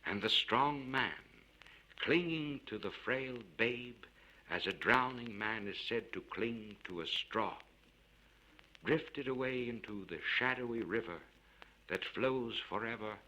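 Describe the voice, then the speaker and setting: A man reading a literary story aloud in a measured, theatrical and expressive way, recorded for a vintage spoken-word LP. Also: medium pitch, lo-fi sound. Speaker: audiobook narrator.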